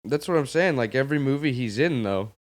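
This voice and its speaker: A man co-hosting a gaming podcast in casual banter, blunt, opinionated and quick to swear. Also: medium pitch, animated.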